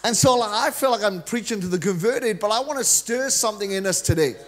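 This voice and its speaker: A middle-aged Welsh man preaching a lively, joking sermon from a large church stage into a handheld mic. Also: high-pitched, brisk, a faint crowd. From Wales. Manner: energetic, joking preacher, gestures freely while pacing the stage. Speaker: guest preacher.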